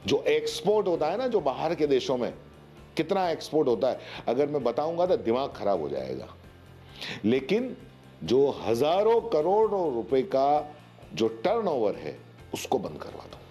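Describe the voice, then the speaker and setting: Man, forties, news commentator, emphatic, rhetorical, mellow tone, music behind. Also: medium pitch, wildly swooping pitch, measured pace. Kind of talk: opinionated news commentary to camera. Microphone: lapel mic.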